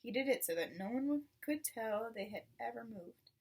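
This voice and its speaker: A woman reading a children's book aloud in an expressive, deliberate storytelling manner. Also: fairly high pitch, slightly rough, distant mic. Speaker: storybook reader.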